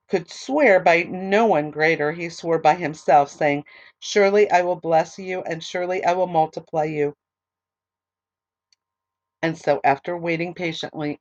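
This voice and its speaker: A woman teaching a Bible study, reading scripture aloud and then explaining it with many ums and restarts, her voice a little hoarse. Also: lowish voice, no room sound, muffled recording. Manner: reads verses steadily, then talks loosely with many ums and restarts. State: losing her voice, a bit hoarse. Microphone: online recorder, computer setup.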